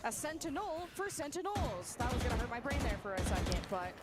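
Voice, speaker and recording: A woman casting a professional esports match with brisk play-by-play that rises on big plays. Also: fairly high pitch, very animated, over loud music. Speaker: esports caster. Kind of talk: play-by-play commentary.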